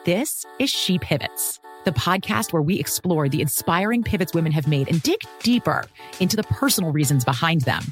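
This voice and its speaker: A woman's voice: on the low side, expressive, moderate pace. A woman hosting a podcast, speaking in a promo for her show. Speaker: podcast host. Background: music bed.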